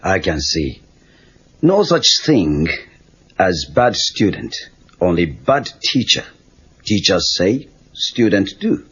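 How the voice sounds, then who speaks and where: An actor playing a wry, calm old Okinawan karate master with a Japanese accent, speaking terse, clipped broken English in a husky voice. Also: male voice, low-pitched, slow pace, full character voice. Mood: patient, dry. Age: older. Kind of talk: film dialogue. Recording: dull lo-fi cassette tape.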